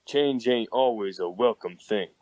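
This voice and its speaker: A man playing a gruff, skeptical Old West railroad laborer in a comic dub, talking in a folksy way. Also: on the low side, measured pace, darkish tone, dry recording, natural voice. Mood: grudging, defiant.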